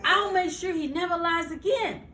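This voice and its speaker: A woman's voice: very high-pitched, moderate pace, pushed and bright, pitched above the performer's own voice. An older woman voicing an earnest small male cricket, a loyal helper who pleads urgently in a storybook reading. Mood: pleading. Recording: roomy, noisy home recording, far from mic.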